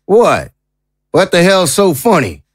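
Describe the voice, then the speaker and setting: A man playing a gruff, no-nonsense small-town law officer in an audio drama, talking bluntly and urgently in a folksy way. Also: sits high, wildly swooping pitch, slow pace, no room sound, clean studio sound, full character voice. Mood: suspicious. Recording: noisy background ambience.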